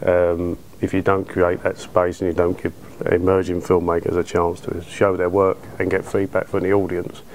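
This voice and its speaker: British man, thirties, deep voice, even monotone, colloquial interview talk, some background ambience. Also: a little hiss or hum. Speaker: cinema owner being interviewed. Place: small dark cinema auditorium, indoors. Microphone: lapel.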